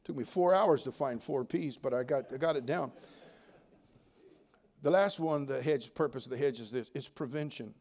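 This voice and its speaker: A man preaching a sermon in a folksy, emphatic manner, swinging between loud exhortation and quieter joking asides. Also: mid-range voice, normal speed. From United States. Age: 70s. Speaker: Baptist preacher.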